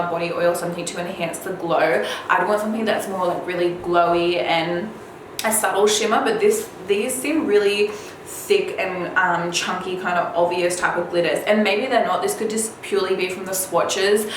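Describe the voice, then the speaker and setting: Woman vlogger in a very echoey room. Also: mid-range voice. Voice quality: slightly raspy. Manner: chatty, informal. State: slightly under the weather with a cold. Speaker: beauty vlogger. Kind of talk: casual chat to viewers about product releases. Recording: very echoey room, faint background noise.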